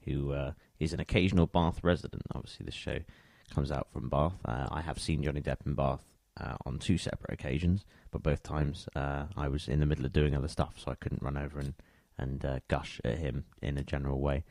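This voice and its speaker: Very deep-voiced man, creaky. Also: fairly even intonation, fairly quick, hardly any pauses, a little mellow, no room sound, clean studio sound. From England. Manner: chatty, conversational. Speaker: radio show host. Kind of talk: casual music show presenting.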